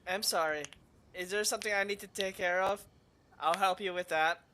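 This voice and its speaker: A man's voice: high voice, fairly even intonation, moderate pace, sharp tone, slight background noise. A man giving casual commentary as he streams a game playthrough.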